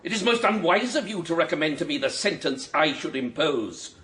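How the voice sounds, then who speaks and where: An actor playing a severe, commanding judge. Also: male voice, a little high, sing-song, fairly quick, pushed and bright, a little hiss or hum. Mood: stern. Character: stern irritable male judge.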